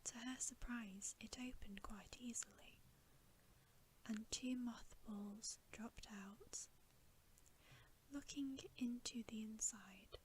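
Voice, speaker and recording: Female ASMR narrator for bedtime fiction: gentle breathy whisper, very unhurried delivery, slight background hiss. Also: on the high side, poor audio. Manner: gentle, unhurried, soothing storytelling. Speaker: ASMR reader.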